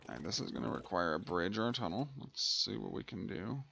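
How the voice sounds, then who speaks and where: Deep, creaky-voiced man doing gaming commentary. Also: sing-song, brisk, bright, forceful tone, a little hiss or hum. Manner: thinking aloud, muttering to himself. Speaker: gaming video host. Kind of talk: casual let's play commentary.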